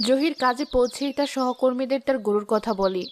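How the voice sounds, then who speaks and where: A woman's voice: sits high, normal speed. A woman narrating a scripted cartoon story. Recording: music behind the voice.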